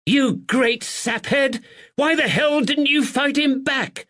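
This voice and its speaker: A woman's voice: high-pitched, slow pace, voice pushed up. A shrieking, furious landlady. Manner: yelling, scolding. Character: furious shrill middle-aged landlady.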